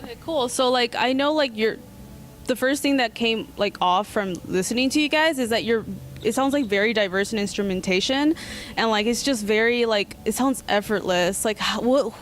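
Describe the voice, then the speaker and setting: A woman's voice: a little high, moderate pace, slight background noise. A woman in her twenties hosting a casual music interview, chatty and upbeat with lots of filler words. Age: 20s.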